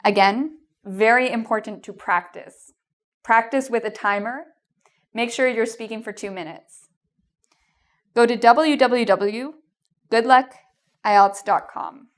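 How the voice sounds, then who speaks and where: A woman's voice: on the high side, a little slow, long pauses. A woman with a Canadian accent giving an English exam lesson, encouraging and reassuring, with frequent "um" and "okay?" checks. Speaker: English teacher. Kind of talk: video lesson with tips.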